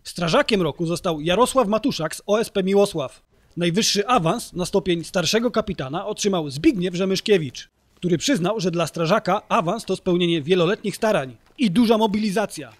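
A man's voice: high voice, a little fast. A man reading scripted narration for a local news report. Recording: voice-over with music behind. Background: music.

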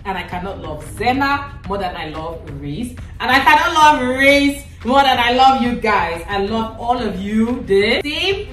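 A woman's voice: fairly high pitch, expressive, measured pace, few pauses, a bit bright. A woman in her thirties from Cameroon, a vlogger mother hosting a casual family quiz at home in a playful, teasing way. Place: indoor living room at home. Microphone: camera set back from the speaker. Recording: echoey room, distant camera, faint music.

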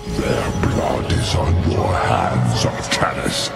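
An actor voicing a cruel, scornful alien warlord in a video game, with a gravelly growl and haughty, drawn-out delivery. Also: male voice, rumbling low, lively intonation, exaggerated voice. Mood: dark, taunting. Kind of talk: theatrical video game villain acting. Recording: voice under very loud game music.

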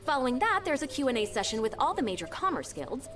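Efficient polite secretary, young, crisp, animated, distant, soft music behind. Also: female voice, high voice, fast. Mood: businesslike. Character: brisk young female secretary, meticulous, polite. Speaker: game character, secretary.

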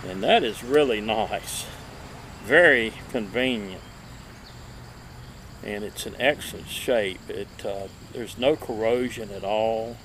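A man in his fifties presenting a casual show-and-tell as a video host, halting and lingering over his words, recorded outdoors a few feet from the camera. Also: fairly low pitch. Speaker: vintage radio collector and video host. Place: outdoor table in a wooded yard. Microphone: camera a few feet away. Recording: steady outdoor background noise.

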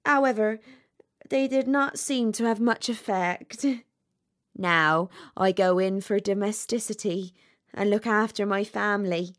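A woman voicing a peaceable, practical female duck in a storybook, chatty and homely, with emphatic, drawn-out delivery. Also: medium pitch, natural voice. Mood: placid, practical. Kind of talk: storybook character reading.

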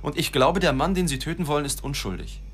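An actor in an audio drama playing an earnest, dogged, world-weary newspaper reporter who is direct but slightly hesitant. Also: male voice, fairly high pitch, animated, fast talker, slight background noise. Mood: earnest, pressing. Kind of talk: German audio drama acting and narration.

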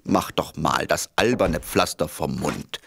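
A woman's voice: extremely low pitch, measured pace, gravelly, no room sound, full character voice. A woman voicing a watchful, nagging companion who admonishes a little king in a children's cartoon. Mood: suspicious. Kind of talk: children's cartoon narration and dialogue.

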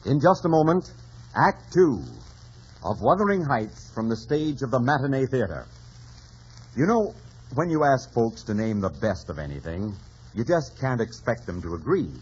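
A man's voice: medium pitch, sing-song, moderate pace, slightly rough. A man announcing and reading an advertisement in old radio style, as an emphatic, folksy salesman. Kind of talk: 1940s radio advertising read. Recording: dull old radio recording.

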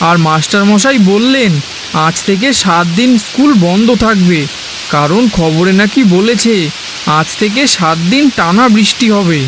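A woman's voice: mid-range voice, expressive, moderate pace, background noise, close to an everyday voice. A woman playing an earnest, concerned young schoolchild in a Bengali cartoon story. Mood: explaining.

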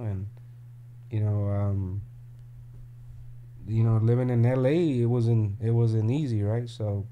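A middle-aged man from Mexico, a record label CEO talking candidly in an interview in an office, blunt, with many fillers and restarts, into a studio mic on a boom arm. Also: phone-quality sound, a little hiss or hum. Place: office room with desk and paintings.

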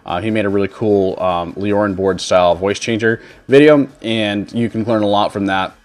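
A man in his thirties with a fairly low voice hosts a casual streaming tutorial. He explains software steps informally, rambling a little as he goes. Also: fairly even intonation, moderate pace. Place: indoor home streaming setup.

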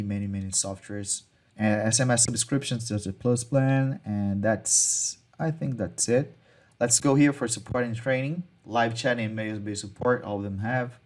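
Man walking through software features. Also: on the low side, steady tone, normal speed. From Brazil. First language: Portuguese. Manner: casual, repeats words and restarts phrases, non-native phrasing. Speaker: marketing tutorial host. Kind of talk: screen-share software walkthrough.

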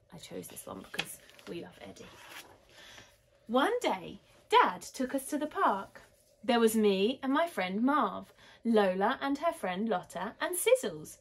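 Expressive woman reading a bedtime story. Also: medium pitch, takes it slowly. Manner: expressive, with addresses to the young listener. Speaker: storyteller reading a picture book aloud. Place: small bedroom, indoors.

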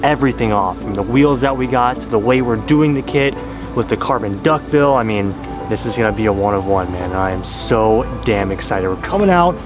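An American man, around his thirties, talking casually and excitedly in a slangy vlog style. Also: on the low side, brisk. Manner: hyped, slangy. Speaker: car vlogger. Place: car park and paint shop. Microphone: handheld camera at arm's length. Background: music.

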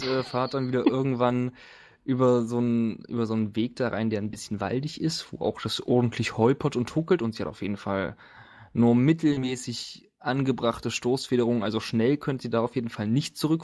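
A man narrating as game master of a tabletop role-playing session in a casual, joking, halting way. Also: mid-range voice, darkish tone.

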